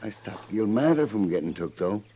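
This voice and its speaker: A man's voice: fairly low pitch, sing-song, moderate pace, mellow, breathy edge. An actor playing a talkative, self-deprecating stableman with a rural American accent in a 1950s radio western, plain-spoken and rambling. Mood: rueful, puzzled. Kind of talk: 1950s radio drama acting. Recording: faintly noisy old radio recording.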